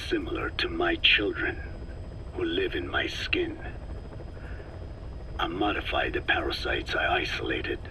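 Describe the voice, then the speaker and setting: An actor playing a grave, weary elderly scientist in a game cutscene, speaking in halting, measured phrases with a breathy, rasping half-whisper. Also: male voice, low voice, far from the mic. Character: elderly male scientist, grave, slow, weary parasite researcher. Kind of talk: video game cutscene exposition. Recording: clear background noise under voice.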